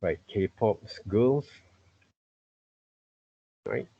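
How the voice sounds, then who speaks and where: A middle-aged man with a husky voice teaching an informal online lesson, repeatedly checking with "okay" and "right". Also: lowish voice, extremely slow. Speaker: teacher, art and character design tutor. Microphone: computer mic on a video call. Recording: muffled video-call audio.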